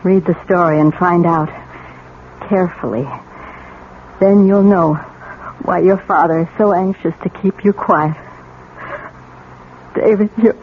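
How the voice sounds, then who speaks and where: A woman's voice: lowish voice, measured pace, pauses often, close to an everyday voice. An actress playing a cold, bitter middle-aged wife and mother in a 1970s radio drama. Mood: cold, insistent. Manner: cold, accusing. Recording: muffled, noisy old radio recording.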